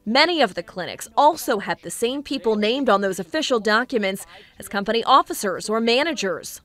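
A woman in her thirties reads an investigative news script with a measured, clear delivery. Her voice sits a little high and sounds bright and crisp. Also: expressive. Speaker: investigative reporter.

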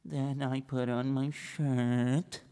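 A man voicing a dazed, bewitched man who mumbles slowly and hesitantly through the same routine in a children's story. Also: medium pitch, level tone, dark tone, clean tone, in a large hall, pitched below the performer's own voice. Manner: mumbling, slow, repetitive, hesitant.

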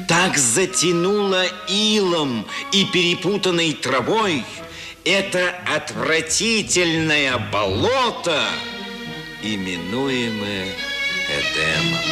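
An actor narrating a literary radio drama as an expressive, dramatic storyteller. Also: male voice, medium pitch. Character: expressive storyteller of a Dickens tale.

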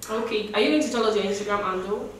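A woman's voice: medium pitch, very fast, a loud crowd. Young woman hosting, roomy and distant. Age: young adult. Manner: chatty, leads with questions. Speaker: host. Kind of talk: casual group discussion. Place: living room, seated on a couch. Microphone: far camera. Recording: roomy echo from a distant mic.

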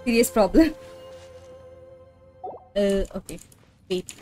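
A woman's voice: medium pitch, expressive, slow pace, mellow. A woman with an Indian accent chatting casually and playfully on a game livestream, often repeating her phrases. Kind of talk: casual livestream chat while gaming. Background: game music.

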